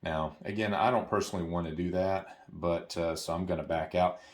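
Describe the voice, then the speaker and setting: A man's voice: low-pitched, fairly even intonation, a little fast. A man giving a casual, hands-on product tutorial, explaining things informally and repeating key points for emphasis.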